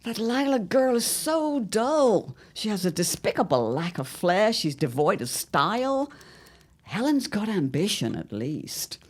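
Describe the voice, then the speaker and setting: A woman's voice: mid-range voice, sing-song, measured pace. A woman playing a shrewd, scheming mother of a grown son in an audio drama, with a grand, theatrical, drawling delivery. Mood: disdainful.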